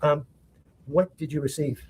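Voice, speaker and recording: A man examining a witness in a courtroom, speaking haltingly with many pauses and rephrasings. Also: fairly high pitch, steady tone, a little mellow, slightly rough. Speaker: trial attorney.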